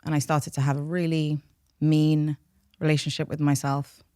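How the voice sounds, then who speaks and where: A woman's voice: low-pitched, flat delivery, normal speed, dark tone, clean tone, no echo, studio quality. A woman from North London, a native Farsi speaker with a London accent, talking openly and reflectively about herself in an interview. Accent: London English. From North London, England. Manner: open, reflective. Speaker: podcast guest, behaviour change specialist. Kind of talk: candid personal interview.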